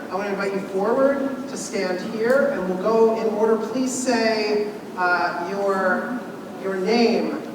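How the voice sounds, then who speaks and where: Man, high register, leads a community meal from the front of a reverberant hall, mic distant with room noise. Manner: instructive, inviting, restarts mid-sentence. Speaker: seder leader. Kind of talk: leading a community ritual meal. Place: large hall. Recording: echoey hall from far off, background noise.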